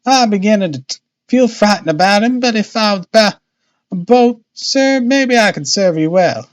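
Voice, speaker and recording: Storybook boatman's wife male reader acting, talkative and fretful, colloquial, pitched well up and loud. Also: expressive, moderate pace, muffled recording. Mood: anxious. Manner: chattering, exclamatory, colloquial. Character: harried, talkative working-class Victorian boatman's wife, anxious.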